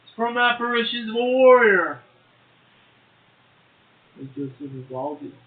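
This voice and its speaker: A man's voice: very high voice, sing-song, measured pace. A man co-hosting a poetry show, reciting verse and adding brief casual remarks. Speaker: poetry show co-host and poet. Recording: roomy echo, narrow phone-like sound.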